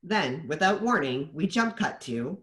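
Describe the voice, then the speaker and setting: A man's voice: a little high, animated, a little slow, a bit bright. A man narrating the stage directions of a screenplay at a table read.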